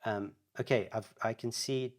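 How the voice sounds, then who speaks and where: Low-voiced man answering questions. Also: fairly even intonation, normal speed. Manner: thoughtful, hesitant, many restarts and fillers. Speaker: speaker answering parenting questions. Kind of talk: live online Q&A talk.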